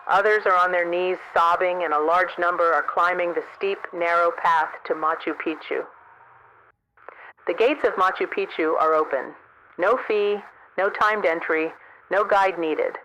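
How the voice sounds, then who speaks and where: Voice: medium pitch, steady tone, unhurried, sharp tone, a little hiss or hum, poor audio. A man playing a solemn, observant field correspondent who describes the world's end in a scripted radio drama report. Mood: solemn awe.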